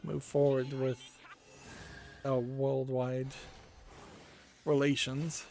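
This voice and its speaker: A man of middle pitch thinks aloud as he hosts a game news channel, giving loose, unscripted commentary while browsing store listings. He pauses often and hesitates mid-thought. Soft music plays faintly in the background. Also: level tone, creaky.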